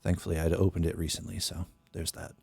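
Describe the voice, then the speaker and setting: A young man with a very deep voice, chatting casually while working on music in a small bedroom studio, thinking aloud with lots of fillers. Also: flat delivery, quick pace, gravelly, a little mellow, husky, no room sound. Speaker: music producer streamer. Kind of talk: casual live-stream talk. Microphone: studio mic on boom arm, headphones.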